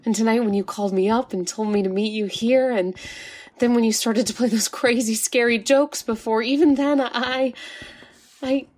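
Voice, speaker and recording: A woman's voice: a little high, steady tone, normal speed, darkish tone, natural voice. A woman playing a devoted, frightened young woman in an old-time radio drama, breathless and pleading. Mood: wistful.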